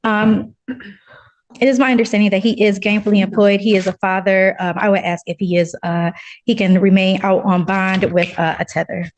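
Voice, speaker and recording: A woman's voice: mid-range voice, flat delivery, muffled recording. Hesitant female defense attorney. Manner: hesitant, halting, searching for words. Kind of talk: spoken argument in court.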